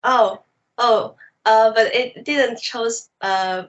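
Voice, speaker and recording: A woman's voice: a little high, drawn-out words, muffled recording. A woman from China with a Mandarin accent, native Mandarin speaker, answering interview questions in careful English with many hesitations. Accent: Mandarin Chinese. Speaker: animation director guest.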